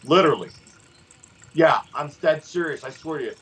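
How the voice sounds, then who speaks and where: A middle-aged man and former wrestler hosting a podcast, telling stories in a rambling, animated way with lots of restarts. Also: on the high side, normal speed, slight background noise. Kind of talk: casual storytelling chat.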